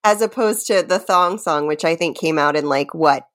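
A woman's voice: sits low, moderate pace, a bit bright. A woman co-hosting a podcast game in casual, playful chat, giggly and teasing, sometimes reciting lines dramatically. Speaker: podcast co-host running a guessing game.

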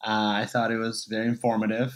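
A man being interviewed as a guest, speaking in a relaxed, hesitant way with many fillers. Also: on the low side, fast. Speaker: guest, cinematographer. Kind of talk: casual interview.

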